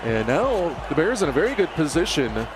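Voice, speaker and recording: A man's voice: a little high, big pitch swings, a little fast. A man doing color commentary for a simulated football game, chatty and rambling. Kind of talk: sports broadcast commentary, banter. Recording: loud crowd and noise bed. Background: loud crowd ambience.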